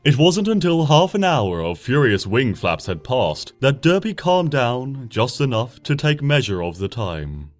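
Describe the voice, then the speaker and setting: Animated male storyteller over soft music. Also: mid-range voice. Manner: lively, dramatic storytelling with soft, hushed passages. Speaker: fanfiction reader. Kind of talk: expressive audiobook narration. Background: soft background music.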